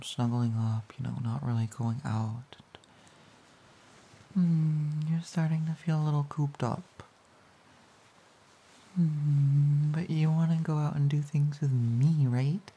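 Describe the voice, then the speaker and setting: A woman playing a playful, pouty, affectionate girlfriend in an intimate romantic audio roleplay, speaking softly and hesitantly with a breathy voice. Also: a bass voice, exaggerated voice. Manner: soft, giggly, hesitant, cooing.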